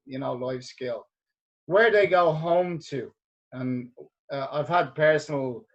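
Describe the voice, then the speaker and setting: A man's voice: medium pitch, lo-fi sound. A man hosting a podcast interview, speaking earnestly with many stumbling restarts. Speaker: podcast host.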